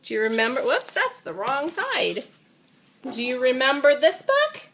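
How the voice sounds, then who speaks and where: A woman's voice: sits high, wildly swooping pitch, a little slow, fairly bright tone, low-quality recording. A woman reading a children's storybook aloud, with dramatic emphasis and chatty asides addressed to a young listener. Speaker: storybook reader.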